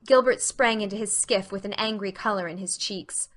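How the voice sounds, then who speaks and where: A woman narrating a storybook audiobook in an expressive, scripted style. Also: medium pitch, normal speed.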